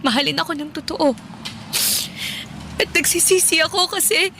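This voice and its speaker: An actress playing a remorseful, tender young elder sister in an earnest, emotional Tagalog radio drama. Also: female voice, very high voice, moderate pace, bright, forceful tone, a little hiss or hum, exaggerated voice. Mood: tearful remorse.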